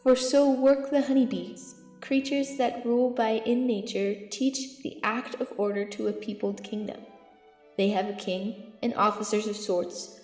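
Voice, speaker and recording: A woman's voice: a little high, steady tone, measured pace, a little mellow, lightly acted. A woman playing a zealous, eloquent archbishop counselling a king in declamatory Shakespearean verse in an audio drama. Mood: measured, persuasive. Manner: declamatory, rhetorical. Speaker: amateur actor in student audio drama. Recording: echoey hall reverb, music underneath.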